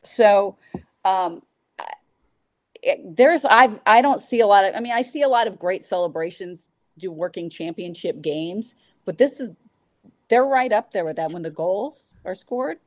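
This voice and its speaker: A woman's voice: mid-range voice, lively intonation, unhurried, no echo, studio quality. A woman talking casually and enthusiastically as a podcast guest, a sports public address announcer. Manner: enthusiastic, informal.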